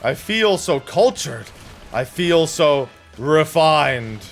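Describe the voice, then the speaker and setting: A man voicing a refined, pompous gentleman from a fantasy game in a drawn-out delivery. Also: a little high, big pitch swings, gravelly, faint music behind, voice pushed up, exaggerated voice. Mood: mock refined.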